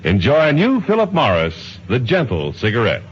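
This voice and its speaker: A man's voice: medium pitch, wildly swooping pitch, moderate pace, husky. A man announcing and reading advertising copy on 1950s radio. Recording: muffled 1950s radio with faint music.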